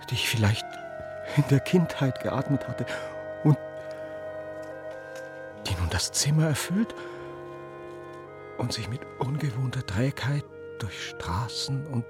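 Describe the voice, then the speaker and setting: A man's voice: mid-range voice, close to an everyday voice. An actor playing a yearning, solitary man, musing in a literary radio play monologue. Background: music.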